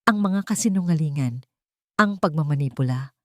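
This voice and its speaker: A woman's voice: deep voice, expressive, moderate pace, darkish tone, dry recording. A woman narrator telling a scripted story in a dramatic storytelling style, recorded close.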